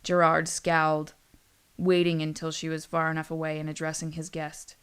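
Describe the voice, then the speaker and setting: A woman's voice: sits low, completely flat delivery, normal speed, fairly bright tone, clear, resonant tone. A woman storyteller reading scripted fantasy narration in an audiobook manner.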